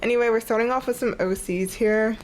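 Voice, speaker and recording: A woman's voice: fairly high pitch, brisk, a little mellow, slight background noise, poor audio. Congested young woman, chatty art vlogger. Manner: chatty, rambling, says "like" often. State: congested, sniffly. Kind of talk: casual sketchbook tour chat. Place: on her bed at home.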